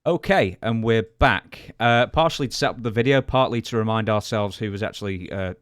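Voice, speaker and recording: A man with a fairly low voice hosts a casual wrestling podcast. The sound is very dry, with no room around the voice, and he talks conversationally as he steers the discussion. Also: brisk, clear, resonant tone, no echo. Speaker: podcast host.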